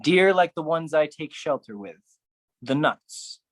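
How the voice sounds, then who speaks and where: A man performing a theatrical spoken-word poetry monologue, phrased deliberately and dramatically, with shifting intensity. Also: a little high, animated, no echo.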